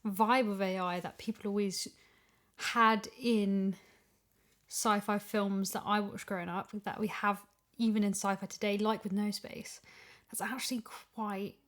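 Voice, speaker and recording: A woman's voice: medium pitch, fairly even intonation, a little slow, fairly bright tone. A woman chatting casually as a guest on a podcast recorded in a house. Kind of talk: casual chat.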